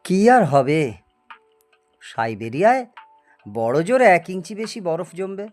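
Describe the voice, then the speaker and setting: A man's voice: sits high, very animated, slow, a little mellow, faint music behind, exaggerated voice. A man playing a boastful, eccentric older scientist in an audio story, lecturing and teasing in a grandiose way. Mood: smug, bold. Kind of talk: dramatised audio story acting.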